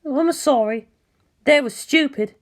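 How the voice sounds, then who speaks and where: Woman as a high, timid teenage girl pilot. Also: measured pace. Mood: apologetic. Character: nervous, self-doubting, polite teenage girl mecha pilot. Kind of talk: acted story dialogue.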